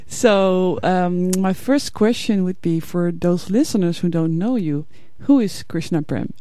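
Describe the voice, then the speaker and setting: Mellow-voiced Dutch woman hosting radio. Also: on the low side, no echo. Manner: measured, with prepared questions and soft laughs. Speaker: radio host. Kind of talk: radio interview. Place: radio studio.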